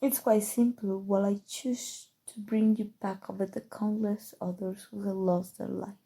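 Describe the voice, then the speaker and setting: A woman playing a calm, seductive, obsessive evil sorcerer in an intimate, coaxing ASMR roleplay, with dramatic pauses. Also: medium pitch, vocal fry, husky. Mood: possessive, intense. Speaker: voice actor. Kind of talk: ASMR roleplay, scripted acting.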